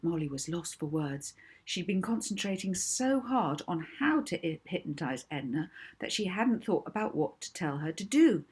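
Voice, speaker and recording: A woman reading a children's book aloud as expressive audiobook narration. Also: on the low side, husky. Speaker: author reading own book.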